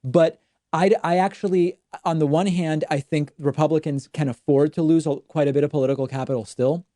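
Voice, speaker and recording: A man's voice: fairly high pitch, fairly even intonation, fairly quick, warm tone, dry recording, clean studio sound. A man hosting a live call-in talk show, explaining his views in an opinionated way. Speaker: host.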